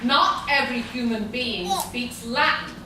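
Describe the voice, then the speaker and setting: Lady acting a grandiose evil gargoyle sorceress, slow and commanding, super echoey noisy hall. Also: fairly high pitch, animated, distant mic. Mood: scornful, menacing. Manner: grandiose, commanding, deliberate delivery. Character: imperious female gargoyle sorceress villain, grandiose and merciless. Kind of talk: live radio play acting. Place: live convention stage reading in a large room.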